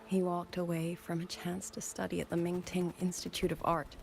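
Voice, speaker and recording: An actress playing a grieving former musician turned soldier in a video game, speaking softly and measuredly with wistful resolve, over background music. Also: female voice, fairly low pitch, level tone, normal speed, gravelly, a little hiss or hum. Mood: tender, sorrowful. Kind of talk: dramatic video game voice acting.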